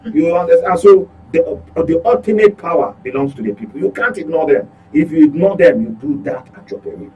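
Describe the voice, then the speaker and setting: A man's voice: fairly high pitch, lively intonation, fairly quick, dark tone, rough recording. A Nigerian man speaking as a guest commentator in a political discussion, emphatic and repeating phrases for stress.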